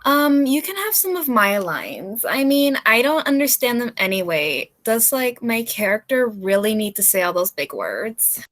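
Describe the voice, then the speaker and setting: A woman's voice: on the high side, big pitch swings, a little slow, few pauses, a bit bright. A woman playing a vain, dim actress in a stage comedy, speaking in an airy, vague way. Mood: airy, clueless.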